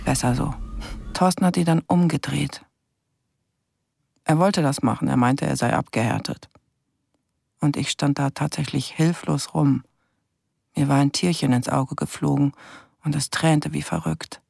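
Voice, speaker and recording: A husky rookie policewoman in a radio drama. Her voice has plenty of creak and sits in a very dry recording. She narrates earnestly, as if confiding. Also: low-pitched, unhurried, long pauses, darkish tone, no room sound, heavily acted. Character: inexperienced, earnest young female police officer. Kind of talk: confiding radio play monologue.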